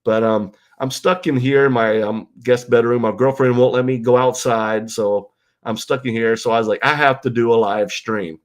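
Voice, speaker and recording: A man's voice: mid-range voice, steady tone, moderate pace. Brief: male host, nasal and stuffed up from a cold, loose rambling chatter, home bedroom stream. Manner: rambling, loose, full of ums and you-knows. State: stuffed-up nose and congested from illness. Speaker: livestream host. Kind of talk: casual live-stream chat reading viewer comments.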